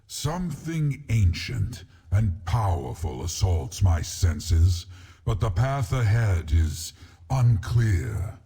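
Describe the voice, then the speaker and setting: An actor playing a proud, brooding ancient dragon in a video game, speaking formally and cryptically in a gravelly, raspy voice. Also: male voice, low voice, warm tone, full character voice. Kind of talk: video game character dialogue. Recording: music under the voice.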